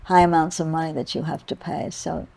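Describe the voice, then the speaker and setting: A woman's voice: low voice, steady tone, a little fast, gravelly, darkish tone. An American woman hosting a health podcast, asking thoughtful questions of her guest. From United States. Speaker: podcast host. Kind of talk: interview conversation.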